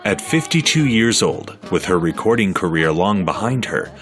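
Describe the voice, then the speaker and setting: A man giving measured, scripted documentary narration. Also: low voice, sing-song, fairly bright tone. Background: music underneath.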